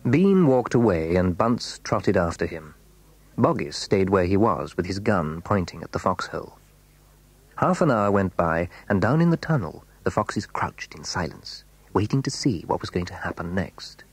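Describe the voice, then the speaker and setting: A man narrating a children's audio story in an expressive, suspenseful way. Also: deep voice, moderate pace, slightly rough, no echo, heavily acted.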